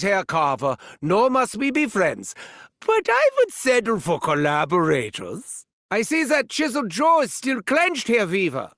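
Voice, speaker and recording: Arrogant, gleefully cruel German scientist in a video game: German accent, sharp forceful tone, close dry sound, theatrical sneer. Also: male voice, very high voice, dry recording, exaggerated voice. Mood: mocking, sly. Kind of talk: video game villain voice lines.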